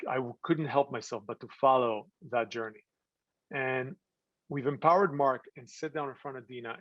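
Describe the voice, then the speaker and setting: A man giving an earnest talk to an audience about his film, often pausing to find words. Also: mid-range voice, no room sound. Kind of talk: presentation to an audience. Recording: slightly dull, dry sound.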